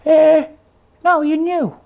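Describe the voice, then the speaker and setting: Puppet detective: extremely high voice, proud, fussy, bossy, proper delivery for comic puppet dialogue. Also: female voice, little pitch movement, slow pace, creaky, phone-quality sound, exaggerated voice.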